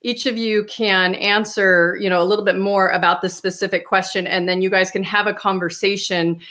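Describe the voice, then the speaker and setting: An enthusiastic woman hosts a panel over a video call, speaking at a middle pitch. She is upbeat and directive, praising guests and keeping things moving. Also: fairly even intonation, moderate pace, sharp tone. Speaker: moderator. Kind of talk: hosting a panel.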